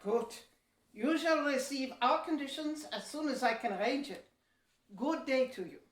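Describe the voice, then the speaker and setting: A woman playing a courteous, persuasive male Dutch company agent in a historical audio play, speaking formally and deliberately. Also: sits high, close to an everyday voice. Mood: courteous, businesslike. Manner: formal, deliberate, measured delivery. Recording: roomy echo, distant from mic.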